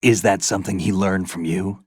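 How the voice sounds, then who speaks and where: Low-voiced laconic soldier. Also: male voice, fairly even intonation, darkish tone, slightly rough, no room sound. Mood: businesslike. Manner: laconic, dry, unhurried. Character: laconic, self-assured male soldier posing as navy lieutenant. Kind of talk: video game cutscene acting.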